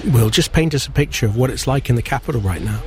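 A man anchoring a news broadcast in a studio, questioning a correspondent in a clear, conversational way. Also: mid-range voice, expressive, fast talker, a crowd in the background.

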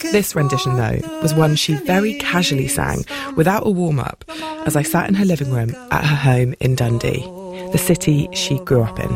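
A female radio reporter narrating a feature in a calm, scripted voice. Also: deep voice, moderate pace, over music.

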